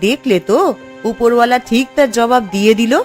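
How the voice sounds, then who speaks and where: A woman plays a village housewife in a cartoon moral tale, with a rather high voice. She is sensible and quick to advise or reproach. Faint music plays behind her. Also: fairly even intonation, a little mellow, natural voice. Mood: chiding. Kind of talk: Bengali cartoon story voice acting.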